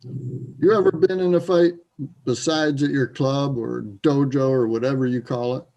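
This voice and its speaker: A man's voice: mid-range voice, fairly even intonation, normal speed, warm tone, close to an everyday voice. A man playing a drunk, belligerent bodybuilder bully in a readers theater piece, taunting and confrontational. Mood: dismissive. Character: drunk, belligerent, self-loathing bodybuilder bully in a small town. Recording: dull, muffled sound.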